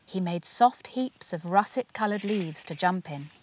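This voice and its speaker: A woman narrating a children's picture book as its author, in an expressive, measured storytelling manner. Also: fairly low pitch, a little hiss or hum. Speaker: author reading their own picture book.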